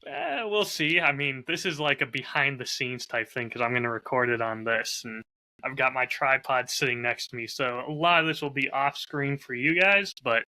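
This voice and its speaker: Guy hosting, very bright crisp-edged voice, casual chat, dry close mic. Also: medium pitch, normal speed, no room sound, rough recording. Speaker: podcast host and whiskey audio drama creator. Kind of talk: casual chat, showing a bottle on a recording. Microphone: close lav mic.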